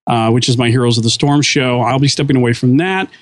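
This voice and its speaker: A man's voice: mid-range voice, fast talker, no room sound. A podcast co-host on his last show, chatting casually and earnestly, fond of corny dad jokes.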